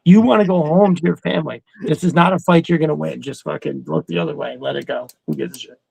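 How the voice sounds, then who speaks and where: Gravelly middle-aged man, casual chat. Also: fairly high pitch, fairly even intonation, fast, darkish tone, no echo, low-quality recording.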